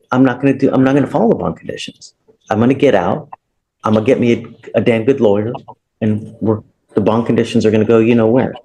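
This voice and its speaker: An American man speaking as a judge in a courtroom discussion, plain-spoken and firm, often halting and repeating himself. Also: medium pitch, mellow, rough recording.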